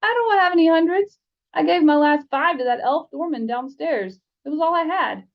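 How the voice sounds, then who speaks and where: A young schoolboy wizard voiced by a woman chatters in a high, breezy voice. He rambles along in an offhand, placid way, as in a children's fantasy storybook, on a slightly dull recording. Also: normal speed.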